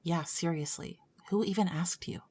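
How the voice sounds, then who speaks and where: A woman's voice: on the low side, moderate pace, darkish tone, breathy edge. A woman playing a catty schoolgirl sidekick who taunts and mocks sarcastically in an audio drama. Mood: taunting. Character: catty schoolgirl sidekick bully, mocking, egging on.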